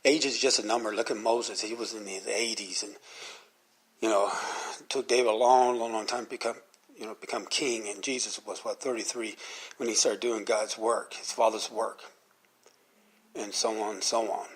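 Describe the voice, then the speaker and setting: A man's voice: on the low side, fairly even intonation, normal speed, husky. A man of fifty-nine giving an informal devotional talk in a rambling, conversational, earnest manner.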